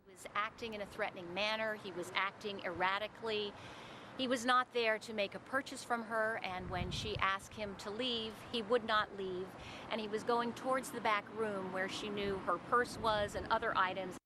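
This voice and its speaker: A woman's voice: mid-range voice, steady tone, moderate pace. A woman giving a statement as a police spokesperson, in a noisy field recording.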